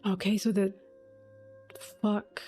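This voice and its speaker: A woman's voice: mid-range voice, level tone, slow pace, mellow. A woman giving casual, emotionally invested reaction commentary on a game, thinking aloud and swearing freely. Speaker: game streamer. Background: faint game music.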